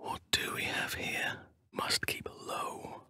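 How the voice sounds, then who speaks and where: An actor voicing a wise, gentle and grave archdruid in a fantasy video game, with measured, formal and thoughtful phrasing. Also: male voice, dry recording, whispered, studio quality. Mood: hushed whisper.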